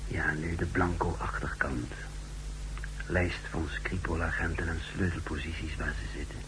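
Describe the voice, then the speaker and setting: Casting: brooding spy, low voice, measured monologue, noisy vintage radio recording. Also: male voice, flat delivery. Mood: brooding, calculating. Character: secretive, scornful male spy recounting his mission. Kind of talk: 1960s radio drama monologue and narration.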